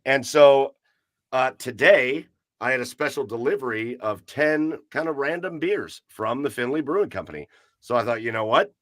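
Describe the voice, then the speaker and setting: A man's voice: medium pitch, lively intonation, normal speed, fairly bright tone, dry recording. Man, podcast host, upbeat announcer style, dry close mic. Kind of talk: casual livestream chat.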